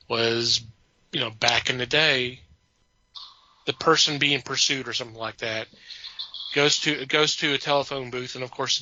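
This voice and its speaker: Man with sharp, forceful voice, halting chat. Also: medium pitch, no echo, highs cut off. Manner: halting, repeats words and restarts sentences. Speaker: podcast co-host. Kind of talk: casual film chat.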